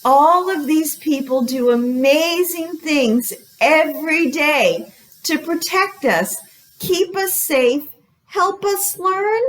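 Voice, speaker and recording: A woman hosting a children's storytime as a librarian, speaking with animated, exaggerated intonation and putting questions to young listeners. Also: sits high.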